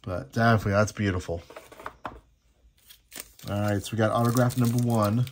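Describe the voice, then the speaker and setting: Gravelly-voiced man chatting through a hobby video. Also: lowish voice, fairly even intonation, normal speed, slight background noise. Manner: chatty, appreciative, reading names aloud. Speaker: host opening sports card packs. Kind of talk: casual running commentary. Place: indoor room at a tabletop.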